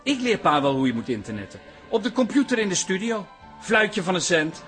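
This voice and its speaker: A self-assured, cheerful son in a radio drama. His voice is high for a man and moves animatedly. Faint music sits behind his easy, confident talk. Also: big pitch swings, a little fast, fairly bright tone. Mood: teasing confidence. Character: cheerful, self-assured son who runs a photo studio.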